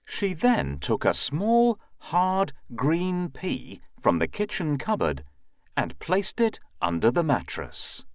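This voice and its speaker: A man narrating a children's fairy tale in a measured, expressive storytelling manner with a husky voice. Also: fairly high pitch, no room sound, clean studio sound. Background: faint music.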